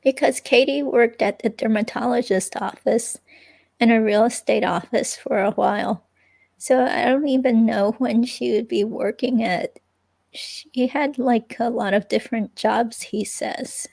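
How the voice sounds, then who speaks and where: Woman acting hesitant, creaky-voiced ex-girlfriend under questioning. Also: a little high, fairly even intonation, measured pace, darkish tone, poor audio. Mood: hesitant, vague. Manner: hesitant, rambling, casual. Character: evasive, unsure young ex-girlfriend being questioned by police. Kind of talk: dramatic reading of an interview transcript, conversational.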